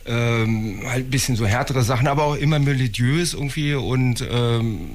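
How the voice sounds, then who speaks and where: A man, the keyboard player of a band, telling relaxed anecdotes in an interview. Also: mid-range voice, moderate pace. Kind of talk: casual interview chat.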